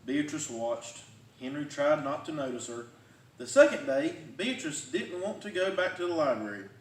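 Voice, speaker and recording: Animated man reading a storybook aloud. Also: a little high, normal speed. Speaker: firefighter reading a children's book. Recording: roomy, distant mic, faint noise.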